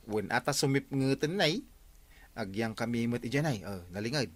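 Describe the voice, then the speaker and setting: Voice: medium pitch, animated, normal speed, no echo, natural voice. A performer playing an inquisitive, scheming village watch volunteer in a comedy radio drama, questioning and coaxing with a northern Philippine Ilocano accent. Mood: scheming, hushed.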